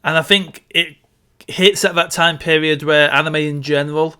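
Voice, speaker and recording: A man's voice: fairly high pitch, fairly even intonation, moderate pace, bright, forceful tone, smooth. A man co-hosting a casual film discussion, explaining his opinions as he goes. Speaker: podcast co-host.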